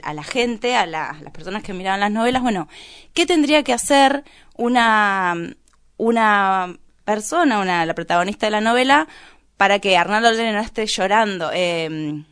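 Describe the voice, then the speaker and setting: A woman's voice: medium pitch, animated, moderate pace, fairly bright tone, smooth. A woman with an Argentine accent chatting casually as a radio columnist, telling a story in a gossipy, conversational way.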